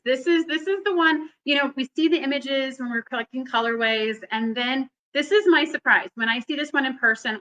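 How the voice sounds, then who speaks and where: Bubbly high-voiced woman hosting a livestream. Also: sharp tone, highs cut off. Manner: bubbly, effusive. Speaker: host of a live quilting event. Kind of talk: livestream chat.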